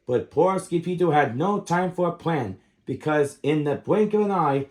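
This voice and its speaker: A man's voice: high-pitched, a little mellow. A man in his thirties reading a children's story aloud expressively. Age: 30s. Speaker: storytime reader.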